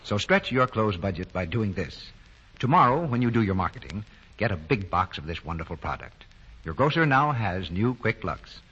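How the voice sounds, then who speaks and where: Male announcer, American, swooping intonation, husky, emphatic, vintage muffled radio ad. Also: lowish voice, very animated, normal speed, a bit bright. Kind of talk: 1940s scripted radio advertising. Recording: dull old 1940 radio broadcast.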